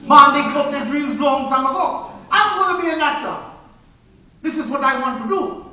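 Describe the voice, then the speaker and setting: A man's voice: extremely high pitch, lively intonation, moderate pace, sharp tone, exaggerated voice. A man on a live stage voicing a young would-be actor from the Bahamas with a West Indian accent, earnest and defiant. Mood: determined. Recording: echoey theater, heard from far off.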